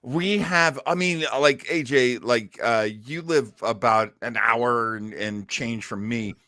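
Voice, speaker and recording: A male podcast host talks casually with a bright, forward voice at a middle pitch. He comments loosely, as in relaxed conversation. The sound is close and dry. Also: slow pace, no room sound. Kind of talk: casual commentary on old radio shows.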